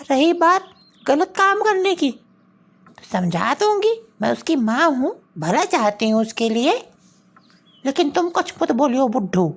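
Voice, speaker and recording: A woman acts out a nagging cartoon wife and mother with an extremely high-pitched voice. She is brusque and scornful, scolding and brushing off whoever she talks to. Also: big pitch swings, a little slow, exaggerated voice. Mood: dismissive, protective. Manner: scolding, dismissive. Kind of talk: comic cartoon dialogue.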